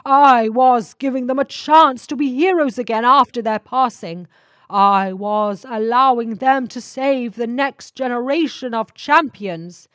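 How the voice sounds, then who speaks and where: Woman voicing a slow, needy, menacing alien spaceship. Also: on the high side, a bit bright, dry recording, pitched above the performer's own voice. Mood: defensive. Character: needy, menacing sentient alien spaceship, male, pleading and indignant.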